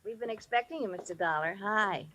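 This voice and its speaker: A woman's voice: mid-range voice, a little fast, background noise, lightly acted. Coy young woman, actress in old radio. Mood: welcoming. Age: young adult. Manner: coy, inviting. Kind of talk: 1950s radio drama acting.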